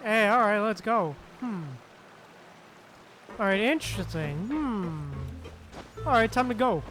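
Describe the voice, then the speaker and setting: A woman's voice: medium pitch, animated, slow pace, fairly bright tone, faint music behind. A woman streamer giving casual live gameplay commentary in short reactions, talking back to the game's characters. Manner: reacts in short phrases, talks to the game characters. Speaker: streamer playing a game.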